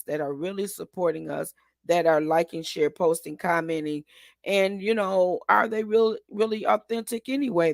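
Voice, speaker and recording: A woman hosting her own show, hoarse today, giving a rambling, encouraging talk full of ums and restarts. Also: fairly low pitch, dry recording, studio quality. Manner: encouraging, asks listeners to agree. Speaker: host. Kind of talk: casual motivational talk.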